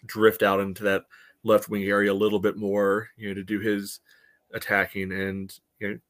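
A man co-hosting a podcast, giving casual soccer analysis in a halting way padded with filler phrases. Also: on the low side, monotone, fairly bright tone. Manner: halting, peppers speech with "you know". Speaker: podcast co-host.

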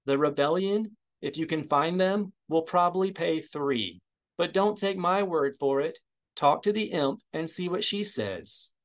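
Brash, wry woman deal-maker for an audiobook, breezy and shrewd, higher-voiced played by a male reader. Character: brash, shrewd woman hustler striking a hard bargain.

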